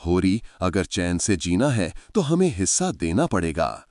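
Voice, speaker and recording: A man's voice: low voice, very animated, measured pace, a little mellow, dry recording, studio quality, full character voice. A man voicing a domineering, menacing landlord.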